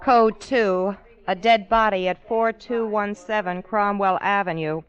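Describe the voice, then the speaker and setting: An actress playing an impassive police dispatcher, announcing in a deliberate, official way in 1940s radio style. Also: female voice, mid-range voice, steady tone, clear, resonant tone, close to an everyday voice. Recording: muffled vintage radio recording.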